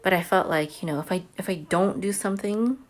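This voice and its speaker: A woman's voice: lowish voice, fairly quick. A homeschooling mother telling a personal story to camera in a chatty, earnest way. She says she has a big voice.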